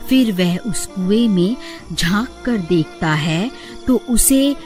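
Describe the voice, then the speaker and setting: A woman's voice: medium pitch, expressive, slow, a little mellow. A woman storyteller reading scripted narration for a horror cartoon. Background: background music.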